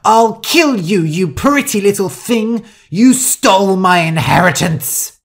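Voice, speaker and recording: A woman's voice: deep voice, animated, slow pace, a little mellow, voice pushed up, heavily acted. A woman voicing a haughty, vain, hot-tempered older heiress in an audiobook story, with an imperious, theatrical manner. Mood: furious.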